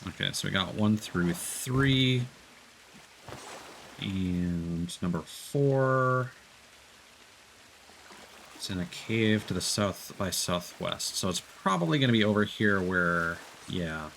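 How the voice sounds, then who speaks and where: A man giving casual commentary while playing a co-op game, guiding a teammate and thinking aloud haltingly. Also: sits low, expressive, creaky, fairly bright tone, slight background noise. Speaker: streamer guiding a co-op player.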